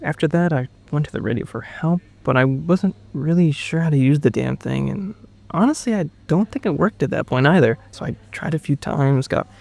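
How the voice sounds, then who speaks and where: A man's voice: a little high, moderate pace, creaky, darkish tone. A man playing a confused, shaken survivor under questioning in a naturalistic audio drama, hesitant and stammering as he speaks. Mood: frustrated.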